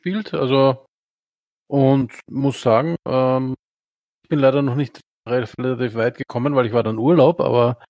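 A man's voice: mid-range voice, fairly even intonation, normal speed, darkish tone, dry recording. A man on a podcast chiming in casually with short, easygoing remarks. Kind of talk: casual chat.

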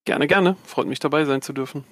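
A man on a podcast explaining things in a measured, calm way. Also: a little high, no echo, studio quality. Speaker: podcast guest. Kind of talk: casual chat.

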